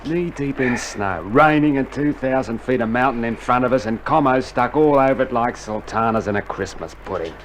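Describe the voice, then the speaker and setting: A man playing a wry, grumbling Australian soldier in a vintage radio war play. The voice is medium in pitch and colloquial, with faint hiss from an old vinyl recording. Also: normal speed, hardly any pauses, lightly acted. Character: grumbling, wisecracking, jumpy infantry soldier. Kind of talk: 1960s radio drama acting.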